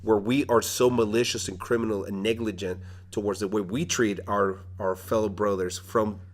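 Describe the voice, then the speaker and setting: Low-voiced man hosting a casual podcast. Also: steady tone, normal speed. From Mexico. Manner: rambling, many restarts and fillers. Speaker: podcast host. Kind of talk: casual conversational interview.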